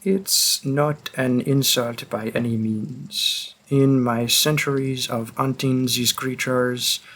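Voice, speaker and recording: A man playing a centuries-old vampire hunter, coolly menacing, deliberate and wry, in a dramatic audio roleplay with a husky voice. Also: mid-range voice, fairly bright tone, full character voice. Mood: grave, explanatory. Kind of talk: slow dramatic second-person roleplay monologue.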